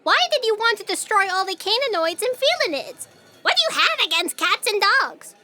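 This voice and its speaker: A woman's voice: very high-pitched, sing-song, moderate pace, pushed and bright, soft background music, heavily acted. A woman playing a cheeky, irreverent young sleuth in an audio drama, with a flippant, wry manner. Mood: indignant questioning.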